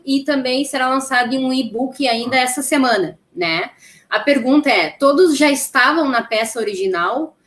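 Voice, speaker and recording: A woman co-hosts an interview podcast. Her voice is a little high, and the room echoes noticeably around it. She asks her questions informally. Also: fairly bright tone. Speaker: podcast co-host asking questions. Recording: roomy, echoing sound.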